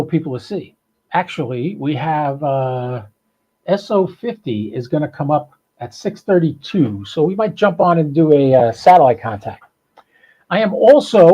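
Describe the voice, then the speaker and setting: A man with a fairly high but mellow, relaxed voice hosts an informal hobby stream. He talks in a loose, chatty way, thinking aloud as he rambles from one remark to the next. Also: highs cut off. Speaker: amateur radio podcast host. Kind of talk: casual rambling live stream chat.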